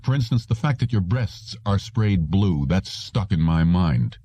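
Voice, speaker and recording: An indignant male clerk from a science fiction audiobook, read by a man, demanding and sardonic. The voice is low and dark, recorded dry. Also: lively intonation, a little slow, dry recording. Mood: angry, sardonic. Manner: sardonic, agitated.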